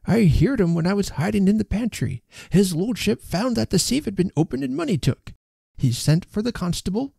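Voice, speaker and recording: A man voicing a frightened, toothless old rustic thief, croaky and breathless, whimpering as in a storybook. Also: high-pitched, sing-song, moderate pace, dark tone, dry recording, studio quality, voice pushed up, full character voice. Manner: whimpering, rustic uneducated speech.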